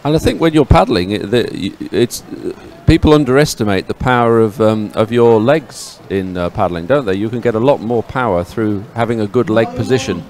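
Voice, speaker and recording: A man speaking as a sports co-commentator, with a casual, conversational and somewhat halting delivery. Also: on the low side, a little mellow, a little hiss or hum. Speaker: sports co-commentator, former team paddler. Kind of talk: live race commentary, conversational.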